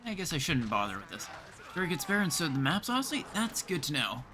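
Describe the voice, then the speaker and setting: A man's voice: on the high side, big pitch swings, fast, sharp tone, slight background noise. A man from Canada livestreaming a game, chatting casually with viewers as he plays. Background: game music.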